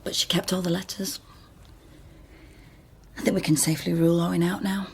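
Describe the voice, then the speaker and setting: Low-voiced wry woman investigator. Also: flat delivery, brisk, gravelly, slight background noise. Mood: frank, earnest. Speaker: lead actor in a radio drama. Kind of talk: naturalistic radio drama acting.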